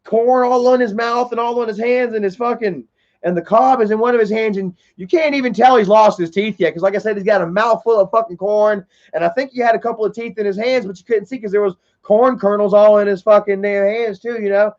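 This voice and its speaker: A man's voice: high voice, fairly even intonation, brisk, a little mellow. A man in his thirties telling a rambling, profane story in casual livestream chat, full of fillers and repeats. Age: 30s. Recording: dull lo-fi stream audio.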